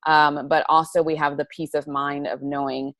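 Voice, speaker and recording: A woman's voice: low voice, flat delivery, moderate pace, a bit bright, dry recording. A woman in her forties sharing an earnest, chatty personal testimonial over a webcam video call. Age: 40s. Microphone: laptop webcam on a video call.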